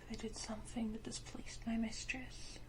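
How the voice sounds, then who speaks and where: A woman playing a sinister, wounded yet condescending female vampire in a whispered ASMR roleplay, speaking deliberately with theatrical pauses. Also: a little high, flat delivery, close to an everyday voice. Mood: haunted, bitter. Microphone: close ASMR microphone.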